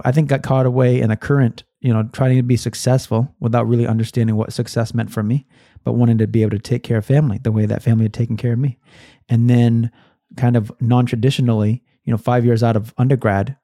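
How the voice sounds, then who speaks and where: A middle-aged man from Massachusetts speaks with a gravelly, mellow voice. He talks casually, full of fillers and restarts, into a dry close microphone. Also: mid-range voice, dry recording. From Massachusetts, USA. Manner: many fillers and restarts, thoughtful. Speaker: guest, education nonprofit founder. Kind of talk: casual conversational storytelling.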